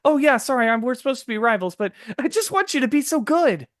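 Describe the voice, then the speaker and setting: A man's voice: very high-pitched, lively intonation, fast, pitched above the performer's own voice. A man voicing an earnest, kind-hearted teenage anime boy, eager and encouraging. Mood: sweetly apologetic.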